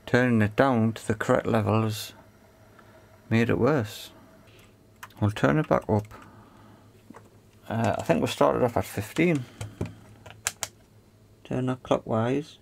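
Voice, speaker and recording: A man's voice: mid-range voice, slow pace, vocal fry, long pauses. A man giving hands-on repair commentary, thinking aloud in a tentative way as he works. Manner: tentative, talks to himself while working. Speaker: repair video presenter.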